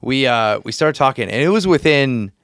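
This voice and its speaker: A man's voice: medium pitch, lively intonation, moderate pace, fairly bright tone, no room sound. A man hosting a faith podcast, telling a story earnestly with frequent restarts and laughs. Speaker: podcast host. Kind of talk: casual faith chat. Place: podcast studio.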